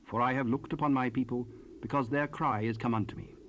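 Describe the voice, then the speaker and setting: A man narrating scripture from a script in a formal, measured way. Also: medium pitch, fairly even intonation, a bit bright. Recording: hissy 1970s cassette rip.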